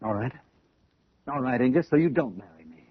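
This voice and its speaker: American man, vengeful obsessive, insistent and urgent, slightly rough voice, dry lo-fi vintage radio drama. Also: mid-range voice, animated, a little fast, dry recording. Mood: stubborn, desperate. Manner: urgent, insistent, stammering under stress. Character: agitated, obsessed man bent on vengeful payback. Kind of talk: old-time radio drama acting. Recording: muffled vintage radio broadcast recording.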